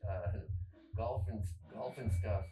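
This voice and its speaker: A man's voice: fairly low pitch, slow. Wry man telling stories from afar. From Arizona, USA. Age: middle-aged. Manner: relaxed, wry storytelling with laughs. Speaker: guest musician. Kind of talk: casual interview chat. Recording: distant, phone-like, echoey with music.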